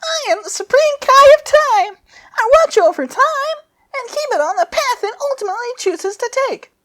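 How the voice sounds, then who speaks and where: A woman voicing a small, indignant, self-important goddess of time in a squeaky, bossy voice for a fanfiction reading. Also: expressive, normal speed, pushed and bright, exaggerated voice. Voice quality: very high, squeaky. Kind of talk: hobby fanfiction character reading.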